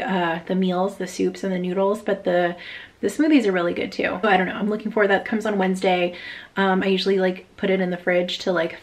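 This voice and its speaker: A woman chatting informally in a casual vlog style, rambling and thinking aloud as she goes. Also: mid-range voice. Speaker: vlogger; dog walker.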